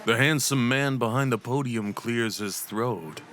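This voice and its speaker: A man's voice: mid-range voice, measured pace, background noise. A man reading scripted second-person fantasy narration for an ASMR roleplay. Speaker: narrator and voice performer.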